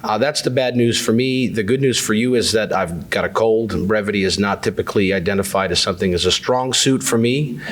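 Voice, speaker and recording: Guy with a cold opening a town hall, lowish voice, chatty and self-deprecating, lots of uh's. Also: a little fast, hardly any pauses. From New Hampshire. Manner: affable, self-deprecating speaker with frequent "uh" fillers. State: has a cold. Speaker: state insurance commissioner. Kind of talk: public town hall remarks.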